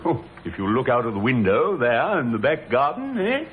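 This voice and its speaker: An actor playing a smooth, evasive scheming husband in an old radio drama, airy and self-assured. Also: male voice, medium pitch, huge pitch swings, moderate pace. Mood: glib, evasive. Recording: dull, hissy vintage radio recording.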